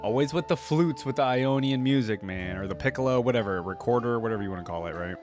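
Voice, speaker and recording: A man's voice: medium pitch, lively intonation, quick pace. Casual man thinking aloud over music. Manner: informal, musing, thinking aloud. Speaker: reaction video host. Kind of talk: casual reaction commentary. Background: music from the videos being played.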